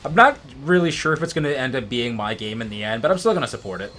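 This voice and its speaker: A man's voice: mid-range voice, big pitch swings, fast talker, bright, forceful tone. A man with a mid-range voice co-hosts a video game playthrough. He comments casually, as in a loose chat with a friend, while faint game music plays behind him. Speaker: co-host of a gaming let's play.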